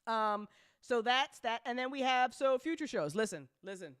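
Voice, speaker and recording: A woman host chatting casually and unscripted to her listeners, candid and excitable, with emphatic repetition, slang and plenty of swearing. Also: on the high side, moderate pace, smooth, no echo, clean studio sound. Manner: excitable, emphatic with repeated phrases. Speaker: host and audio drama writer-producer.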